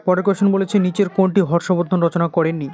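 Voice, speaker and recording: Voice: mid-range voice, level tone, quick pace, a little mellow. An exam coaching teacher with an Indian Bengali accent, reading out quiz questions and repeating the answers in an instructional way.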